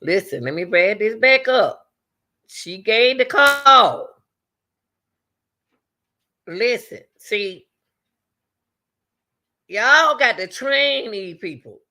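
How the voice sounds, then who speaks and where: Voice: mid-range voice, big pitch swings, very slow, long pauses, pushed and bright. A man giving impassioned, repetitive, exhorting reaction commentary as the host of an online show.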